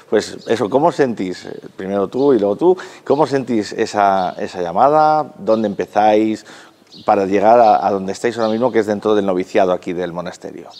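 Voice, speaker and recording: A man in his forties interviewing in a cloister garden with a lapel mic, in a smooth, measured presenter's manner. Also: on the low side, a little mellow, big-room sound. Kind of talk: broadcast interview. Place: monastery cloister garden outdoors.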